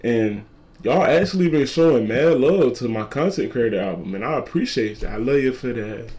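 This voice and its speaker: A twenty-year-old man with a deep voice, a content creator talking casually to camera and repeating his points. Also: lively intonation, moderate pace, darkish tone. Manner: repetitive, laid-back slangy talk, repeats lines. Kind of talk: casual vlog talk to camera.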